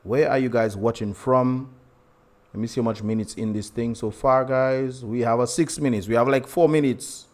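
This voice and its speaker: A man's voice: mid-range voice, steady tone, moderate pace, darkish tone. A young man with a Dominican accent hosting a casual livestream, chatty and laughing often as he greets viewers. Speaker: livestream host.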